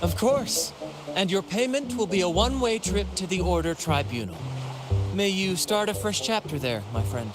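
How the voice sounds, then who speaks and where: An actor playing a flamboyant wandering bard in a video game, silver-tongued, teasing and theatrical. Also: male voice, sits high, lively intonation, normal speed, over music. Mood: teasing, smug. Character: flamboyant male wandering bard, playfully smug pirate-crew member.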